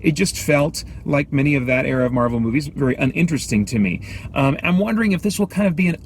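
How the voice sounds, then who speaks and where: Higher-pitched man reviewing casually, noisy background. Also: expressive. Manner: halting, thinking aloud, measured opinions. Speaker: movie review host. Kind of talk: casual off-the-cuff film review commentary.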